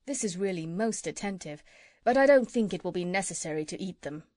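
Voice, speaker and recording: A woman voicing a gentle, polite male animal doctor, speaking softly and courteously in a storybook. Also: lowish voice, animated, a little fast, no echo, clean studio sound, lightly acted. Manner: soft-spoken, courteous.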